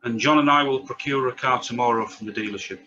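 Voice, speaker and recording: A man's voice: mid-range voice, quick pace, sharp tone, close to an everyday voice. A man playing a blunt, demanding man in a scripted drama table read. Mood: blunt, practical. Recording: dull, clearly noisy recording.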